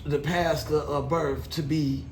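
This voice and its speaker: Young dude joking with his pops, high and bright, echoey room. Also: little pitch movement, a little slow. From Miami. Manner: playful, laughing, teasing questions. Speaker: son interviewing his father. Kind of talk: casual chat. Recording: echoey room, faint noise.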